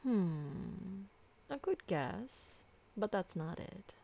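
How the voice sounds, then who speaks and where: A woman playing an ancient, refined and doting female vampire in an intimate ASMR roleplay, soft-spoken and tender, with genteel, formal wording. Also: medium pitch, lively intonation, very slow, creaky, exaggerated voice. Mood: doting, gentle.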